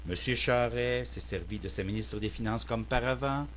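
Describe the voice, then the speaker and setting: A man's voice: mid-range voice, normal speed, bright, forceful tone. Male citizen commentator, Québécois accent, mid-range voice, earnest and declamatory, amateur recording with a faint hum. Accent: Québécois French. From Quebec, Canada. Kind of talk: prepared political opinion monologue.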